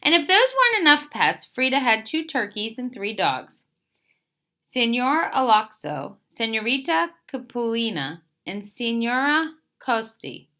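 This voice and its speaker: Female storyteller for picture book read-aloud. Bright tone, highly varied pitch, expressive phrasing. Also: a little slow. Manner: expressive, emphatic phrase openings that settle at sentence ends.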